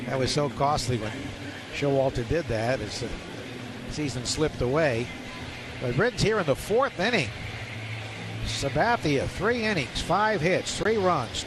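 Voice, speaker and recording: A man's voice: a little high, expressive, measured pace. A man doing live radio play-by-play commentary of a baseball game from a stadium booth. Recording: noisy outdoor stadium ambience.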